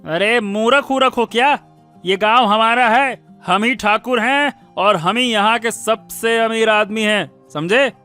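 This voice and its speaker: Cartoon landlord's brat, played by a man: piercing high, sharp voice, haughty, bullying and greedy. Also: unhurried, faint music behind. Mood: indignant, boastful. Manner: haughty, scornful, demanding. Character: arrogant, bullying, greedy young landlord's son. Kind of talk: Hindi cartoon moral-story acting.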